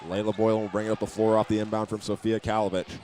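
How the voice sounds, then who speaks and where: A man's voice: on the low side, level tone, racing, darkish tone. Guy doing live play by play of a basketball game, bit of gym noise behind him. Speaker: play-by-play sports broadcaster. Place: indoor high school basketball arena.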